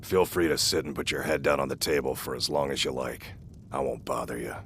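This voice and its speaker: A man playing a gruff, terse, indifferent innkeeper and cook in a video game, speaking in flat, clipped phrases. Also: a bass voice, brisk, a little hiss or hum. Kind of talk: video game character dialogue.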